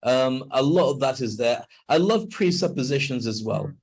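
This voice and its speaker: An older man speaking as a guest on a video call interview, emphatic and animated when making a point. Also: mid-range voice, moderate pace, vocal fry, slightly rough. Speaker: guest, NLP trainer and speaker.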